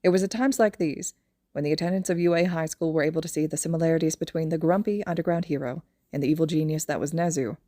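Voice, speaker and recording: Mellow, low-voiced woman reading a story. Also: quick pace, dry recording, clean studio sound. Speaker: audio fan fiction narrator. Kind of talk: scripted story narration.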